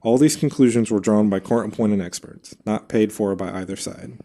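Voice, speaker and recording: A man hosting a podcast, reading scripted history narration in a measured storytelling way with casual asides. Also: lowish voice, mellow, slight background noise. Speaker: podcast host.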